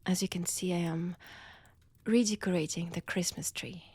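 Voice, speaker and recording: A woman with a French accent playing a seductive, predatory vampire in an intimate audio roleplay, breathy and teasing, often dropping toward a whisper. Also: lowish voice, steady tone, warm tone. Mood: mock-polite, teasing. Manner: slow, teasing, menacing, deliberate pauses. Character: seductive, predatory female vampire toying with her captive.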